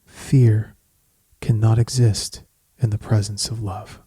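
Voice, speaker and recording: Male meditation guide: very slow, even delivery, soothing and solemn, with long pauses. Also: on the low side, slightly rough, no echo. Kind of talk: guided meditation, scripted poetic narration.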